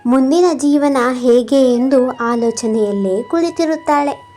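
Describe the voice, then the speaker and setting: A woman's voice: sits high, unhurried, dark tone, faint music behind. A woman narrating a scripted moral story.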